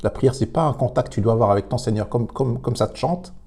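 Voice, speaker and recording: A man commenting on a religious debate, emphatic but halting, with many repeated words and fillers. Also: medium pitch, warm tone, slightly rough. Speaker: commentator reacting to a clip.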